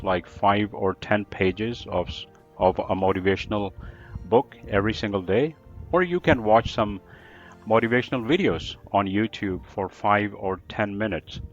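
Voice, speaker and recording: A man with a low voice and an American-trained accent explains his points emphatically, often repeating key phrases. The sound is dull and lo-fi, with faint music underneath. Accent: American-trained English. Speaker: book reviewer, English teacher. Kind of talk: podcast interview answers.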